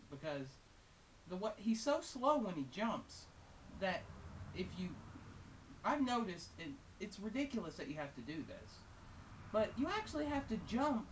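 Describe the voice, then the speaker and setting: A female-sounding game reviewer giving casual, unscripted and opinionated commentary in a conversational way with wry asides. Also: medium pitch. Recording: hissy, distant microphone.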